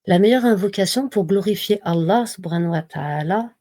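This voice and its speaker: A woman giving a slow, measured devotional reading and reminder, pausing often between phrases. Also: mid-range voice, mellow, no room sound. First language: not Arabic. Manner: slow, measured recitation with pauses. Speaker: religious reminder speaker.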